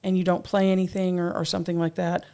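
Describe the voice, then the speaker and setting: An older woman hosting a casual talk show, chatty and quick to laugh. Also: on the low side, little pitch movement, dark tone. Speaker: host.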